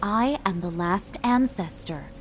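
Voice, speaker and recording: A woman's voice: mid-range voice, expressive, a little slow, slight background noise, lightly acted. An actress playing a principled female military officer in a video game, formal, firm and earnest. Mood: stern, troubled. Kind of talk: video game cutscene acting.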